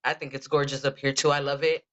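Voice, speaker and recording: A man born in Ensenada and raised in San Diego, co-hosting a podcast in hyped street slang full of shout-outs. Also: mid-range voice, level tone, quick pace. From Ensenada, Mexico; raised in San Diego. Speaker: podcast co-host. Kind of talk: casual chat. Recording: dull lo-fi sound.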